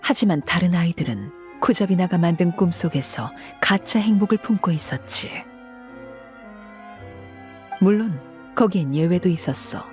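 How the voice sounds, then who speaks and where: A voice actress playing a cold, composed woman commander in a video game, speaking in a measured, detached and authoritative way. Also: fairly low pitch, lively intonation, natural voice. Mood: somber, detached. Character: cold, composed commanding woman harbinger recounting a grim past. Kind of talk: scripted video game voice acting. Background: soft background music.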